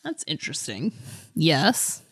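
A woman's voice: low voice, wildly swooping pitch, takes it slowly, fairly bright tone, husky, no room sound. A woman co-hosting a podcast, talking through a book casually in a thoughtful, hesitant way. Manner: hesitant, thoughtful, hedging delivery. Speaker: podcast co-host.

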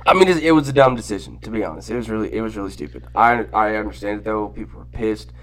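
A man's voice: fairly low pitch, quick pace, fairly bright tone. A man in his late twenties, a comedy podcast guest, chatting in casual, profane banter. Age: late 20s.

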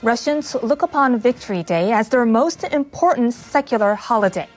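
A woman's voice: a little high, expressive, moderate pace, faint music behind. A woman narrates a scripted news report as a broadcast reporter.

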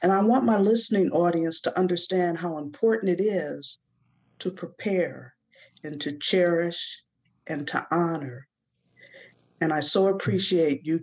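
Earnest woman guest with a lowish voice. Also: a little mellow, slightly rough. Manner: earnest, measured. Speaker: guest speaker. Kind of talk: heartfelt talk on a show.

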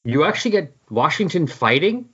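A man's voice: fairly high pitch, very animated, a little fast, a bit bright, breathy edge, highs cut off. A man co-hosting a podcast, chatting casually and wryly about comics. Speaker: podcast co-host.

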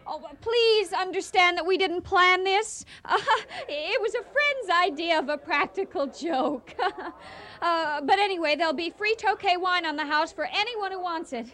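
An actress playing an excitable, vengeful restaurateur in a radio comedy, with a flustered and emphatic manner. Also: female voice, extremely high pitch, moderate pace. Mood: panicked, placating. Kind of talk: 1980 radio theater comedy acting.

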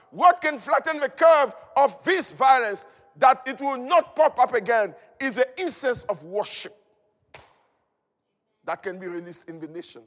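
A man's voice: extremely high pitch, a bit bright. A man preaching a fervent charismatic sermon, emphatic and declamatory, repeating key phrases as he goes. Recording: long hall reverb.

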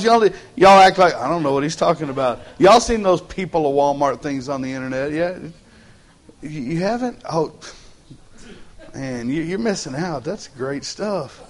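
A Texan pastor preaching to his church in a relaxed, joking way. He talks with a slightly high voice, loose and folksy, often restarting phrases as he goes. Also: measured pace. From Texas. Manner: joking, folksy, repeats words as he starts phrases. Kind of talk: casual humorous sermon. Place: church sanctuary.

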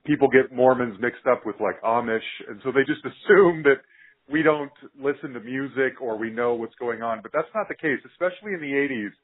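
A man's voice: mid-range voice, a little fast, breathy edge, phone-quality sound. An American man hosting a conversational podcast interview in an enthusiastic manner. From Utah, United States.

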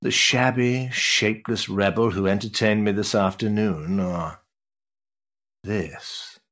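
An actor playing a worldly older duke, an amused, teasing schemer with an urbane, roguish manner in a period stage drama. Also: male voice, fairly low pitch, slow, dull audio. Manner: urbane, roguish, patronising flattery with chuckles.

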